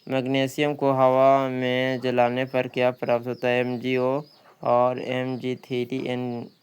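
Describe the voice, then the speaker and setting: A man's voice: medium pitch, monotone, slow pace, clear, resonant tone. A man working through practice questions as a coaching teacher, reading each one out and repeating questions and answers.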